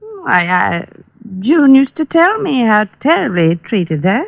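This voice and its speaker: A woman's voice: fairly high pitch, big pitch swings, a little slow, vocal fry, no echo, heavily acted. An actress playing a poised, evasive society woman with a formal, hesitant manner, in 1940s radio drama style. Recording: dull dry old radio recording.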